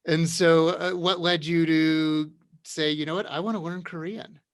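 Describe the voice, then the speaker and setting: A man's voice: on the high side, steady tone, measured pace, no echo. A man moderating an online round-table, chatty and encouraging, often restarting his questions.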